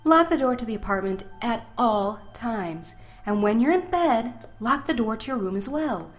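A woman's voice: on the high side, expressive, moderate pace, faint music behind, lightly acted. A woman acting in an audio drama as a protective, teasing mother who fusses affectionately and speaks bluntly. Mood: fond, concerned. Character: protective, teasing mother of a blind college student.

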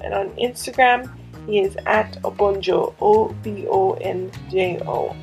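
Woman, podcast host, conversational, wry, frequent vocal fry, faint music behind. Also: medium pitch, measured pace, darkish tone, muffled recording. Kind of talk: scripted podcast narration and interviewing.

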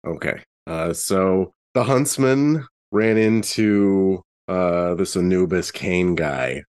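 A man playing an earnest, skeptical investigator in a tabletop game, speaking in a hesitant, halting way. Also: sits low, darkish tone, smooth. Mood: explaining. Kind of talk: improvised in-character tabletop dialogue.

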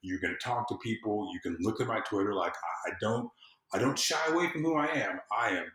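A man from the US South talking conversationally as an interview guest, in an informal, earnest, halting way with frequent restarts. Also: fairly low pitch, fairly bright tone, reverberant, low-quality recording. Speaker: podcast guest, civic consultant and former candidate. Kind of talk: conversational interview, personal storytelling.

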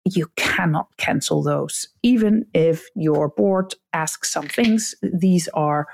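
A woman's voice: deep voice, takes it slowly, gravelly, a little mellow, a little hiss or hum. A woman with a Dutch accent, whose first language is Dutch, hosting a solo podcast and giving direct, blunt advice to leaders. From Netherlands. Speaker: podcast host, customer experience coach.

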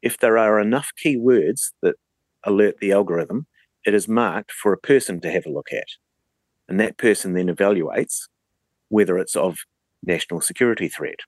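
A man, explaining things as an interview guest in a measured, deliberate way. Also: lowish voice, dry recording.

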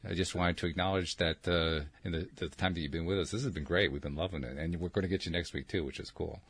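Low voiced guy hosting a chat show, lots of ums, dry close sound. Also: fast, breathy edge, dry recording. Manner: chatty, filler-heavy, warm greetings, laughs easily. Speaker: show host. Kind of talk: casual interview chat.

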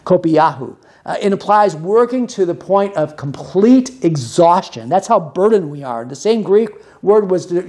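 Higher-voiced man giving a conversational sermon. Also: warm tone. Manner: earnest, explanatory, uses repetition for emphasis. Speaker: preacher and podcast host.

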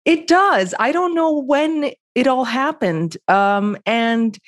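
Slow, blunt New woman. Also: high voice, animated, no echo, studio quality. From the Bronx, New York. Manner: blunt, candid, plainspoken. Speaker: guest, history professor. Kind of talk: casual interview talk. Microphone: remote call.

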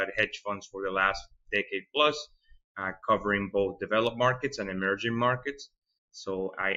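Low, bright-voiced man from Venezuela. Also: dull audio. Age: 30s. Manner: thoughtful, repeats words while finding them. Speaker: fund manager guest. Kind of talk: podcast interview.

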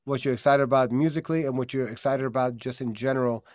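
A man's voice: mid-range voice, steady tone, fast, a little mellow, dry recording, clean studio sound. A man born in Germany and raised in America, hosting a live stream in an upbeat, earnest way with many fillers, over a video call. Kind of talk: casual promotional live-stream talk.

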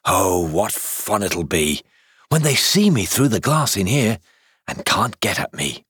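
A man's voice: fairly low pitch, sing-song, a little slow, fairly bright tone, slightly rough, no room sound, clean studio sound, heavily acted. A man reading the thoughts of a pleased, mischievous little girl in a storybook, with a gleeful manner.

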